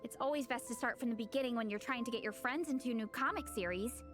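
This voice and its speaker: Game role: encouraging, food-loving young female athlete voiced by a woman, high-pitched, enthusiastic, music behind. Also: quick pace, close to an everyday voice. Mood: friendly. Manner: upbeat, earnest, enthusiastic. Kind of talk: video game character acting.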